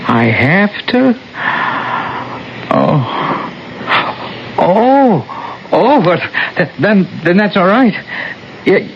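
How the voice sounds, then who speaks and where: A man's voice: high-pitched, very animated, extremely slow, pauses often, heavily acted. An actor playing a meek, bumbling male ghost in a radio drama, hesitant and deferential, with a stammer. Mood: nervous, deferential. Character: meek, bumbling, apologetic male ghost named Peter Pruitt. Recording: muffled, noisy 1970s radio recording.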